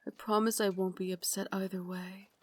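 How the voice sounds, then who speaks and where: A woman voicing a tender, guilt-ridden young Victorian vampire lady in an intimate ASMR roleplay, with formal, dramatic, confiding phrasing that slips into breathy whispers. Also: medium pitch, level tone, normal speed, lightly acted. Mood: tender, sorrowful.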